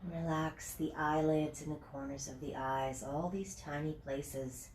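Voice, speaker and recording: A woman with a stuffed-up summer cold teaching a yoga class at home, chatty at first and then giving slow, soothing cues. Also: low-pitched, steady tone, a little mellow, far from the mic, slight background noise. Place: home, near a porch patio set. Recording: echoey room from off the mic.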